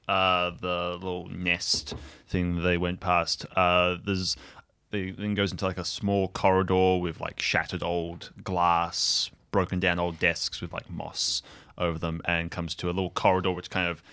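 Deep-voiced man improvising game narration. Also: fairly bright tone, clean tone. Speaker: player in an improvised tabletop audio drama. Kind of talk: casual improvised narration of his character's actions.

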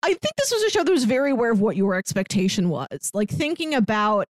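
A fairly high, lively woman's voice, bouncing up and down in pitch as she chats on a podcast. She laughs often and slips into little acted-out quotes. The sound is dry and close, with no room around it. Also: quick pace, no echo. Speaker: podcast co-host. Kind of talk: casual chat reviewing a TV show.

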